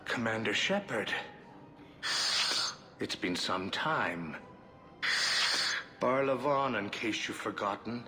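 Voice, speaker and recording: An actor playing a courteous alien financial advisor and shady agent in a video game, speaking in a formal, measured, businesslike way. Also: male voice, fairly low pitch, lively intonation, bright, forceful tone, soft background music, full character voice.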